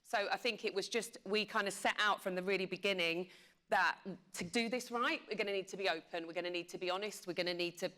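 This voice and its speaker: A woman's voice: medium pitch, fast, sharp tone. A woman talking conversationally and emphatically as a media executive on a panel, repeating phrases for emphasis.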